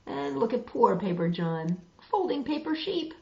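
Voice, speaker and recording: A woman reading a picture book aloud to children, expressive and dramatic, pausing for asides to show the pictures. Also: fairly high pitch, vocal fry. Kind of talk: animated children's story reading with asides to viewers. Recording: roomy, dull lo-fi web video.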